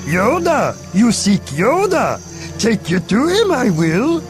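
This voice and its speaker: A man's voice: extremely high pitch, huge pitch swings, slow, over music, heavily acted. A man voicing a small, impish old alien creature for a storybook read-along, speaking eagerly in odd, inverted word order. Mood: curious, eager.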